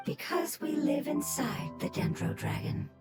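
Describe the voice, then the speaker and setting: A woman voicing a gentle, earnest, wistful fungus creature in a video game, with formal, deliberate wording. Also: high voice, big pitch swings, exaggerated voice. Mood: nostalgic. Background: loud background music.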